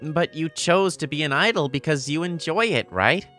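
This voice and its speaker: Woman voicing an earnest low-voiced teenage boy. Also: expressive, moderate pace, a bit bright, soft background music. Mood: reflective. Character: earnest, easily flustered teenage boy, idol's fiancé. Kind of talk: manga dub dialogue and first-person narration.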